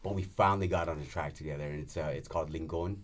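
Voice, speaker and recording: A man who is a musician, talking casually on a podcast in a laid-back, halting way with frequent agreement. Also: very deep voice, steady tone. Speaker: musician guest.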